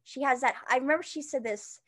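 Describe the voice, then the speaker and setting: A young woman with a high-pitched voice, chatting as an interview guest, with many fillers and restarts. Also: fast, fairly bright tone. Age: young adult. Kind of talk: casual interview chat.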